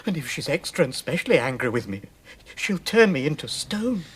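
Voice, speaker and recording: A man playing a gentle, timid faun in a radio theatre storybook drama, fretful and self-reproachful, with halting, theatrical delivery. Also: a little high, sing-song, husky, full character voice. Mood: fearful.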